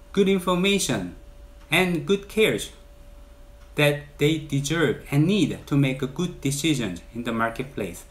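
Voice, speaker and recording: A man in his forties with a Korean accent speaks straight to camera, earnest and measured. His pitch sits in an ordinary middle register, and he pushes up on the words he wants to stress. The sound is clean, recorded indoors in a bright room. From South Korea. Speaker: realtor. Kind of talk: direct-to-camera channel introduction.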